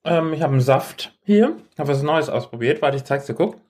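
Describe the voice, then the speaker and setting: A man's voice: fairly high pitch, expressive, fairly quick, darkish tone. A man co-hosting a podcast, bantering casually in a teasing, playfully ironic way. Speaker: podcast co-host. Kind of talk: casual banter between friends.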